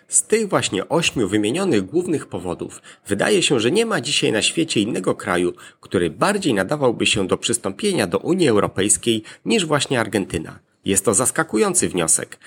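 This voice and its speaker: A man presenting a scripted explanatory monologue in a measured, formal manner, setting out arguments point by point. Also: medium pitch, big pitch swings, vocal fry, fairly bright tone, slightly rough.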